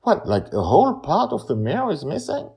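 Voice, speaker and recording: A man voicing an earnest, eager, excitable young-hearted friend in a fantasy story. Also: sits high, a little fast, vocal fry, pitched above the performer's own voice, full character voice. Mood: concerned. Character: earnest, excitable, worried friend of a dream girl.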